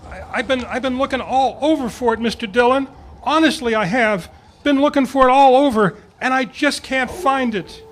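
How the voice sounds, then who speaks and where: A man's voice: very high-pitched, moderate pace, fairly bright tone. A man playing an anxious, pleading western deputy sidekick in an old-time radio parody. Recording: faintly noisy live club performance.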